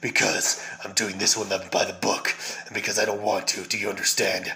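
A man's voice: lowish voice, flat delivery, brisk, pushed and bright. A man voicing a grim, weary masked vigilante in a stern, restrained way, as a fan reading of a comic. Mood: grave, pleading.

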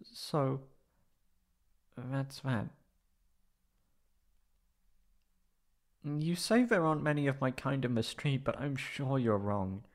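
Male actor as tsundere catboy, proud yet insecure, unhurried, gravelly edges, hesitant stammer, ASMR roleplay. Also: medium pitch, close to an everyday voice. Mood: aloof.

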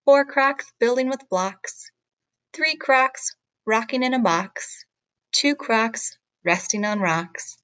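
Slow-paced woman reading to children. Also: mid-range voice, a bit bright, dry recording. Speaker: teacher reading aloud. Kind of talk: picture book read-aloud for children.

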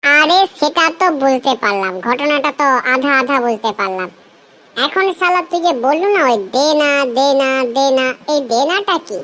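A woman's voice: high-pitched, animated, normal speed, a bit bright. A woman playing a lustful, blustering male friend in comic cartoon dialogue, with crude bullying slang. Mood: puzzled, irritated.

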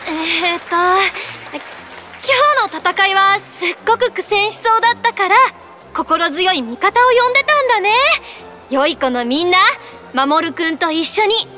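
A woman's voice: very high voice, animated, moderate pace, pushed and bright, soft background music, a faint crowd, heavily acted. A woman voicing a perky, cute magical-heroine performer cheering on children, in a Japanese anime-style voice drama. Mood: bubbly.

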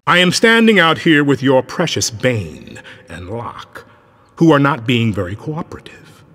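A cold haughty video game villain, talks slow, voice swings around, big echoey reverb. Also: male voice, medium pitch, sing-song, fairly bright tone, distant mic. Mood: cold threat. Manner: slow, haughty, deliberate threats. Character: menacing, condescending male villain, coldly patient. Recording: echoey hall with long reverb.

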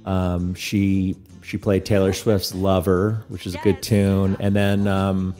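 Casting: man in his thirties, low and mellow, easygoing host at a close mic. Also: level tone, slow pace, faint music behind. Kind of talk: casual chat. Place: small room at home. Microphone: studio mic close up.

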